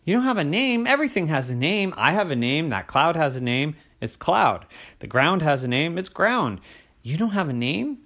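A man's voice: a little high, very animated, a bit bright, voice pushed up, full character voice. A man voicing a bold, chatty young girl who squeals and questions everything in a bedtime story. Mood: incredulous. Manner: squealing, questioning, talks fast.

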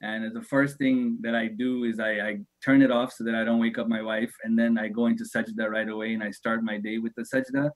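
A man's voice: fairly low pitch, little pitch movement, fairly quick, hardly any pauses, rough recording. A man in his thirties, a religious teacher, answering interview questions thoughtfully, hesitating often and laughing easily. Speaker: shaykh, religious speaker.

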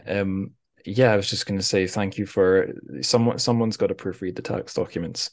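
Low-pitched man hosting a podcast. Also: a little mellow. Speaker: podcast host. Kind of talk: casual literary interview chat.

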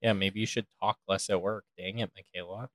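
A man's voice: low-pitched, fairly even intonation, a little fast, a bit bright, no room sound. A young man co-hosting a casual chat, joking in a dry, wry way and sounding tired after a long day. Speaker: podcast co-host.

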